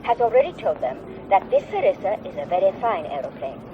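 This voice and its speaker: A man's voice: high-pitched, sing-song, brisk. A man playing an earnest foreign news reporter on a taped bulletin, speaking emphatically in slightly broken English. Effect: played back from a cassette-like tape. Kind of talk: radio drama news report. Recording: lousy, noisy, tinny taped broadcast.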